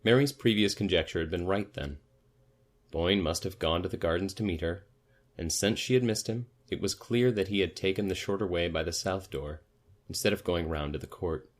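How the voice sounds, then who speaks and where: A man with a low-pitched voice reads literary fiction aloud. He delivers it as scripted audiobook narration, in the role of storyteller. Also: moderate pace.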